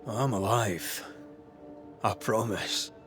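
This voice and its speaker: Slow-spoken, brooding ghost hunter. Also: male voice, fairly low pitch, music in the background. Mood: subdued, reassuring. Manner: earnest, brooding, understated. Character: guilt-ridden, brooding male banisher of ghosts. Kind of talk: naturalistic game drama acting.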